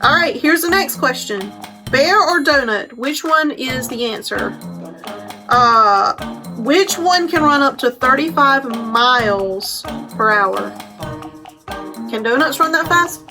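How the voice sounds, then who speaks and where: A woman's voice: sits high, expressive, slow, music in the background. An American woman hosting a storytime as a children's librarian, putting simple questions and explanations to young children.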